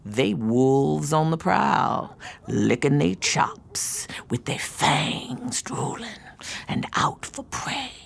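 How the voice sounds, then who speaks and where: A middle-aged American aunt in a radio play, with a low-pitched voice. She is jaded and domineering, scolding hard and leaning on her words with bitter force. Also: sing-song, extremely slow, creaky, heavily acted. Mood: menacing. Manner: scolding, forceful, emphatic repetition. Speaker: actress. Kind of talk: naturalistic audio drama acting.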